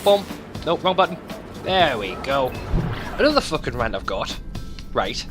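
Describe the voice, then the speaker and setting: A man's voice: mid-range voice, big pitch swings, a little slow. A man doing casual, rambling video game commentary, swearing freely and talking to himself as he plays. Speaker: let's play host. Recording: music behind the voice. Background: game music.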